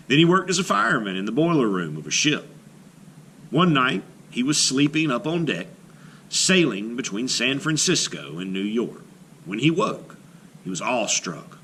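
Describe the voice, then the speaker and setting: Man, children's read-aloud, bright tone, lively expressive pitch, slight background ambience. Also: a little hiss or hum. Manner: expressive storybook reading, slowing on key phrases. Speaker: teacher reading aloud.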